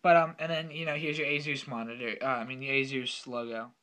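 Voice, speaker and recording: A young man with a slightly raspy voice from being sick, casually talking through an unboxing at his desk with lots of fillers and self-corrections. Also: mid-range voice, little pitch movement, fairly quick, pushed and bright. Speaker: tech unboxing vlogger. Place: home room at a desk.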